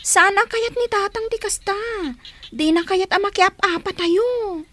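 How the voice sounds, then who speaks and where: A woman's voice: extremely high pitch, measured pace, a bit bright. A woman playing a sensible young big sister in a radio drama, patiently cautioning and admonishing. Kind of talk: Filipino radio drama acting.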